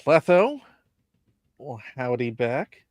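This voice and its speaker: A man hosting a casual live chat, crisp voiced and joking with his guest. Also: big pitch swings, takes it slowly, no echo, studio quality. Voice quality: crisp, slightly high voice. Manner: playful, jokey. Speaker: host.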